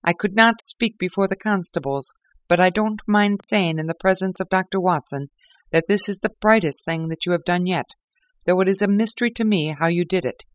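A man voicing a gruff, excitable male police inspector in an audiobook reading, loud and blustering. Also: moderate pace, no echo, close to an everyday voice. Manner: loud, blustering, high-pitched.

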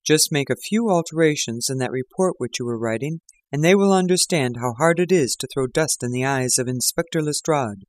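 A man voicing a calm, assured gentleman detective with a dryly amused manner. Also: medium pitch, fairly quick, hardly any pauses, dry recording, clean studio sound, lightly acted.

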